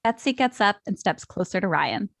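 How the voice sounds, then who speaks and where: A woman's voice: medium pitch, animated, normal speed, vocal fry, a bit bright, dry recording, clean studio sound. A woman giving scripted narration, reading out stage directions.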